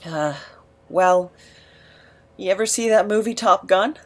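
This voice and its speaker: A woman playing an awkward, emotional misfit in an audio drama, hesitant and stammering, quick to put themselves down. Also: mid-range voice, lightly acted. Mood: nervous, sheepish. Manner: hesitant, stammering, self-deprecating. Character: awkward, emotional, rambling misfit named Nap.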